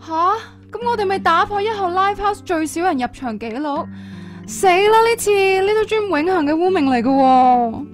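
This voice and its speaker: Young female bandmate, high voice, Hong Kong accent, defiant, idealistic, radio drama, background music. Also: animated, normal speed. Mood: panicky. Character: defiant, idealistic young female band member, headstrong daughter.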